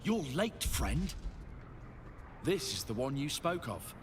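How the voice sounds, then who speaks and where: An actor playing a resolute city commander in a fantasy video game, speaking in a solemn, declamatory, stirring way. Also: male voice, a little high, big pitch swings, slow pace, a little hiss or hum. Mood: gruff acceptance. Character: resolute male city commander rallying weary fighters. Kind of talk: video game cutscene acting, rousing speech.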